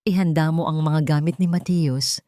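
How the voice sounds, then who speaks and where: A woman's voice: sits low, steady tone, moderate pace, warm tone, dry recording, clean studio sound, close to an everyday voice. A woman voicing a rich old matriarch in an audio drama, cold, commanding and threatening. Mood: imperious.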